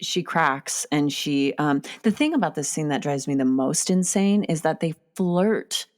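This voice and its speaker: A woman with a low voice talks through a film in a casual discussion. She is emphatic and opinionated, dropping swear words freely as she makes her points. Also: animated, measured pace. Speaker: podcast co-discussant.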